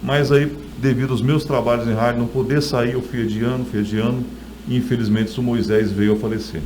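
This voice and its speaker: A man's voice: medium pitch, fairly even intonation, a little fast, darkish tone, rough recording. A middle-aged man speaks with a Brazilian accent in a conversational interview. He is an author telling stories in a mid-range voice. Some light noise sits under the recording.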